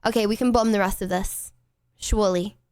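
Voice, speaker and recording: A young British woman streaming a game, chatting casually with her chat and breaking into playful, excited exclamations. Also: medium pitch, fairly quick, smooth, dry recording, clean studio sound. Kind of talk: casual gameplay commentary to chat.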